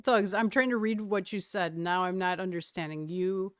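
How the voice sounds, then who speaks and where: A woman's voice: on the low side, fairly even intonation, normal speed, clear, resonant tone, no room sound, clean studio sound. A young woman from Wisconsin with a slight Wisconsin accent, chatting casually with her stream's chat and laughing often.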